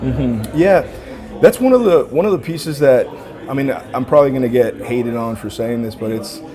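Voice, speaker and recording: A man's voice: mid-range voice, lively intonation, moderate pace, warm tone. A man in his forties talking casually about his collection, with rambling restarts and a laid-back way of speaking. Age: 40s. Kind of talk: casual conversation. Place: indoor watch boutique event. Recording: voices over a chatty crowd.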